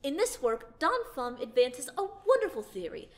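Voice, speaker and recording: A woman's voice: sits high, big pitch swings, normal speed. A woman voicing a pompous, grandly self-assured boy scholar in an audio drama, theatrical and formal. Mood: lecturing.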